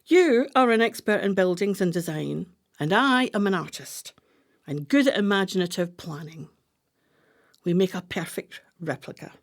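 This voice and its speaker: A woman's voice: mid-range voice, expressive, normal speed, lightly acted. A woman playing a scheming, imperious, artistic retired wife in a radio play, with dramatic, emphatic delivery. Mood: excited, conspiratorial.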